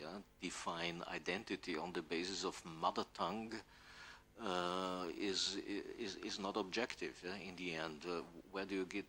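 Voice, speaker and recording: A man answering questions as an academic panelist, halting and repeating words, often adding a questioning "yeah", with some room noise. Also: low voice, creaky, sharp tone. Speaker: academic panel speaker, legal scholar. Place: university conference hall.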